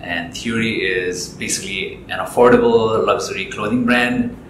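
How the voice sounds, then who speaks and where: A young man from Nepal, a guest in an interview, talking in a relaxed, rambling way with lots of fillers and restarts. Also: lowish voice, normal speed, slight background noise. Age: young adult. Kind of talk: casual interview chat. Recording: echoey room with loud music.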